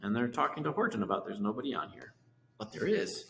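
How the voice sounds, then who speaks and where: A man's voice: medium pitch, very animated, fast talker, vocal fry, fairly bright tone. A man reading a children's storybook aloud, stopping to explain things to young listeners. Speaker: story reader. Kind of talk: animated children's storybook read-aloud with asides. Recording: echoey hard room, long reverb, hiss.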